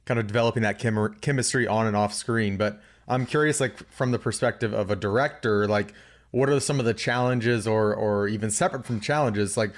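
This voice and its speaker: A man's voice: lowish voice, brisk. A young man working as an interviewer, asking loose, rambling questions in a casual style on a studio microphone. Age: young adult. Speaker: interviewer, video editor. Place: indoor room on a video call. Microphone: boom-arm studio microphone.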